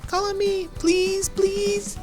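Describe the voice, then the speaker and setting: A man voicing an excitable little schoolgirl mouse from a storybook, eager and gushing. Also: very high voice, takes it slowly, music in the background, pitched above the performer's own voice. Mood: eager, pleading.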